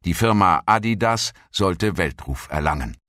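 A man's voice: low-pitched, expressive, unhurried, fairly bright tone, dry recording, studio quality. A man reading scripted documentary narration as a narrator.

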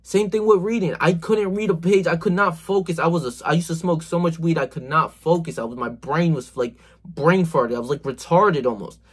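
A man's voice: on the high side, brisk, husky. A man about to turn twenty-one giving a slangy, emphatic motivational talk to camera, swearing a lot and saying "bro," recorded on a phone camera in a small bedroom. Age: 20s. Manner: gestures a lot while talking. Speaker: self-improvement vlogger.

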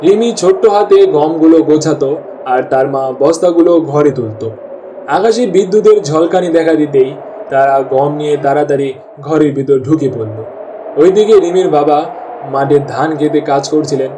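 A man's voice: on the high side, normal speed. A man narrating a scripted cartoon story as storyteller. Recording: distant mic, clear rain noise.

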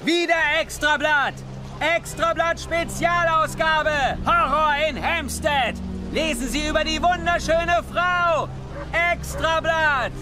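An eager male newspaper seller in a radio drama. He shouts drawn-out sales calls in an extremely high voice, with loud music behind him. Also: crowd noise. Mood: excited hawking. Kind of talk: radio play street crier acting. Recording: radio play with loud background track.

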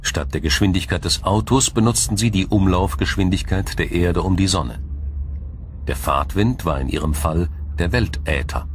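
A man narrating a scripted science documentary in a measured, formal, explanatory manner. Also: low voice, a little hiss or hum.

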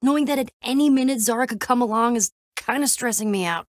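A woman's voice: on the high side, quick pace, no echo, clean studio sound, natural voice. An actress voicing a scrappy, wry young female adventurer in a video game, talking in a casual, sarcastic, plain-spoken way. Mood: worried.

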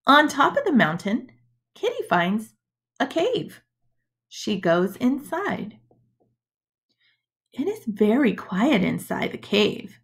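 A woman reading a storybook aloud to young children as a schoolteacher, in a deliberate, expressive manner. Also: on the high side. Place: indoors, webcam screen recording.

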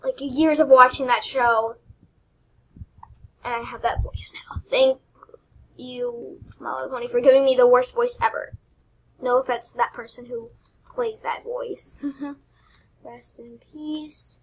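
A young woman hosting her own game channel, chatting casually and rambling with giggles while she plays, her voice squeaky and often dropping to a mutter. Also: fairly even intonation, measured pace, long pauses, slightly rough. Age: teens. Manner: rambling, giggly, self-deprecating. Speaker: gaming channel host. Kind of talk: casual gameplay commentary.

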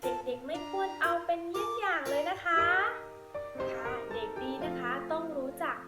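A woman's voice: sits high, animated, moderate pace. A woman teaching young children in an encouraging, sing-song way, asking them questions. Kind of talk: addressing young children, question-and-answer lesson. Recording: roomy echo, loud music behind.

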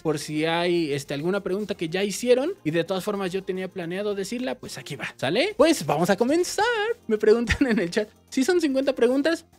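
Mexican guy, 30s, gaming vlogger, lively casual Q&A, soft music underneath. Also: sits high. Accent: Mexican Spanish. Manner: chatty, animated, waves arms while talking. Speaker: YouTube gaming channel host. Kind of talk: casual Q&A vlog. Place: small indoor room with game shelves.

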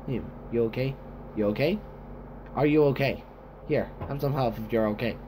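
A man improvising amateur character lines in a halting way, repeating and reworking them as he goes. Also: medium pitch. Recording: faintly noisy home recording.

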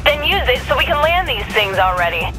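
An actress playing a bossy, exasperated female ally in a video game, talking briskly. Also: sits high, big pitch swings. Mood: impatient. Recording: loud music and engine noise behind.

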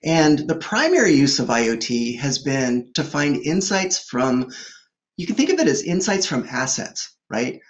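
A man's voice: on the high side, very animated, a little slow, lo-fi sound. A man in his forties on a video call from a home office, explaining products in a fluent, upbeat conversational way with frequent fillers. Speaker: product executive guest. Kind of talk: conversational tech keynote. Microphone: video call webcam.

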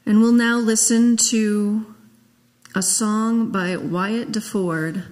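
A woman minister leading a guided meditation, speaking gently and in a measured way with long pauses. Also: medium pitch, fairly even intonation. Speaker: minister leading a memorial service. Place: large church sanctuary, at a pulpit. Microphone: gooseneck pulpit microphone. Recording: long echo of a church hall.

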